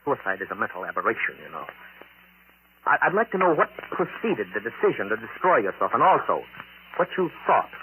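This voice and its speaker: Fast, obsessive professor, intense. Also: male voice, lowish voice, very animated, narrow, tinny audio. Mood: probing, defensive. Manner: insistent, halting, intense delivery. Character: obsessive middle-aged male psychology professor, intense and resentful. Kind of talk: 1940s radio acting. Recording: noisy vintage 1940s radio recording.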